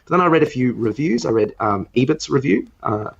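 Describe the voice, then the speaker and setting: A man's voice: medium pitch, animated, brisk, gravelly. Rambling man, slightly dull podcast audio. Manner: rambling, opinionated. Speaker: podcast co-host. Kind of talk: casual film review chat.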